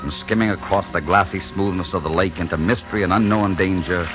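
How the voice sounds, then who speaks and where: A man's voice: low-pitched, a little fast, close to an everyday voice. An actor playing a tough, ardent romantic hero who narrates his adventure in dramatic 1940s radio style. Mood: dramatic narrating. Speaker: leading man and narrator. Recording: dull 1940s radio recording with music.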